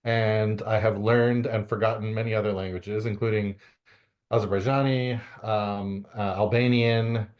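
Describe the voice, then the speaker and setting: A man's voice: fairly low pitch, flat delivery, measured pace, lo-fi sound. A middle-aged man from Canada answering interview questions, with many fillers and restarts. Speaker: guest author.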